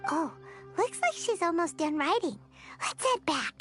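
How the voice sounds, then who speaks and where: Tiny game fairy, squeaky, extremely high, wildly swooping pitch, curious, blunt, music behind. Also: female voice, big pitch swings, measured pace, a bit bright, full character voice. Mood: gentle, observant. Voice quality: squeaky, childlike. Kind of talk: video game voice acting.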